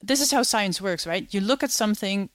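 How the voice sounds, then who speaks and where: A woman from the Netherlands explains astronomy clearly and patiently. She speaks at a middle pitch, and the close, dry recording carries no room echo. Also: lively intonation, fairly quick. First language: Dutch. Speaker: astronomer guest. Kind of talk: interview, explaining science.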